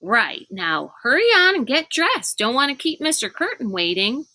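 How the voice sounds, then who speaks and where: Woman doing a cheerful, dim, chatty man, pitched up. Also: big pitch swings, moderate pace, pushed and bright, no room sound, full character voice. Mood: guileless, hurried. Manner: breezy, guileless. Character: cheerful, dim-witted, chatty male school minder. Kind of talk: children's storybook read aloud.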